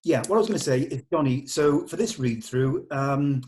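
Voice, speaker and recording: A man's voice: mid-range voice, moderate pace, darkish tone, a little hiss or hum. A man giving actors directions during a script read-through, firm and plain-spoken in the way he puts things.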